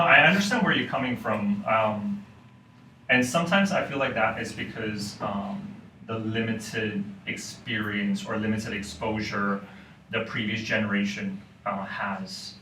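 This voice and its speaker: A man's voice: fairly low pitch, bright, forceful tone. A man from Hong Kong, a university lecturer, talking thoughtfully as a podcast guest with frequent fillers. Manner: thoughtful, many "um" and "you know" fillers. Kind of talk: casual podcast conversation. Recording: roomy, distant mic with faint noise.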